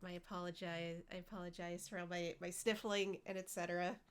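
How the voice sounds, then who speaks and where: A woman's voice: lowish voice, level tone, fairly quick, smooth. A woman streaming a video game with a stuffy, congested nose, chatting and giggling playfully with her viewers. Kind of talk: casual live-stream chat while gaming. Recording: game music playing under voice. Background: game music.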